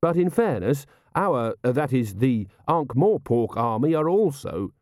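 A guileless young officer of the watch, played by a male narrator, respectful and painfully earnest. The pitch is lifted well up and bounces brightly along in this storybook reading. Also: very animated, unhurried, no room sound, studio quality. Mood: helpful, earnest.

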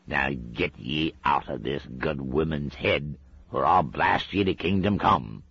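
A cantankerous old witchfinder sergeant, as a man puts him on, growling in a very deep, gravelly voice. He scolds fiercely and drags out his words slowly. The sound is dull and lo-fi. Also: a bit bright, no echo, exaggerated voice.

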